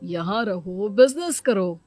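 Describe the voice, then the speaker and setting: A woman's voice: medium pitch, animated, takes it slowly, a little mellow, soft background music, pitched below the performer's own voice. A woman voicing a stern, authoritative elder brother and father who lectures, in a cartoon moral story. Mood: upset, lecturing.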